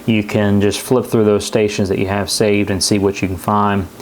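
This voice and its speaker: A man's voice: lowish voice, flat delivery, normal speed. A man giving a casual, unscripted hands-on product review in an easygoing, rambling way. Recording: clear radio static and noise behind. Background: shortwave radio static and stations.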